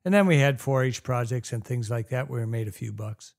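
An older man from Ohio chats as a podcast guest. He tells his stories plainly and without hurry. He often pauses and restarts as he thinks. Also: mid-range voice, animated, vocal fry, darkish tone. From Ohio, USA. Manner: plain-spoken, unhurried storytelling with hesitations. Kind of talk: casual interview chat.

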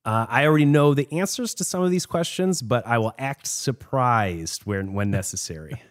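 A younger man hosts a podcast interview. He opens with a clear, scripted welcome. Then he moves on to relaxed, friendly questions. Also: medium pitch, moderate pace. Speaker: podcast host.

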